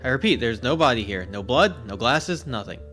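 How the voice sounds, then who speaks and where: A man voicing a tired, cold female police officer who talks flatly and doubts what she hears. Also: mid-range voice, brisk, faint music behind, close to an everyday voice. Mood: cold, skeptical. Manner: flat, skeptical, matter-of-fact.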